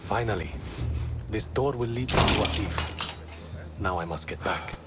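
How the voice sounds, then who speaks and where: An actor playing a cautious rebel guide in a video game, giving urgent, hushed instructions. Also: male voice, fairly low pitch, measured pace, close to an everyday voice. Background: game music score.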